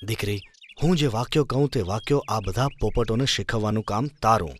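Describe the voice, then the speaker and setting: An actor voicing a clever, calm royal adviser in a children's cartoon story, speaking in a reassuring way. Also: male voice, on the low side, a little fast, slight background noise, lightly acted.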